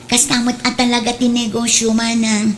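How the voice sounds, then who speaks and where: A woman's voice: fairly high pitch, flat delivery, moderate pace, lightly acted. A woman playing an earnest, persevering younger sister who sells at the market, in a radio drama. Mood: earnest, hopeful.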